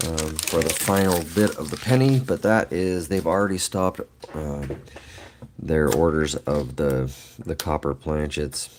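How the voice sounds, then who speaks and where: A man's voice: very deep voice, a little mellow, a little hiss or hum. A middle-aged man with allergies, chatting casually and rambling to viewers on his livestream, laughing often. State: allergies, voice lower than usual. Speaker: livestream host. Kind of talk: casual live chat with viewers.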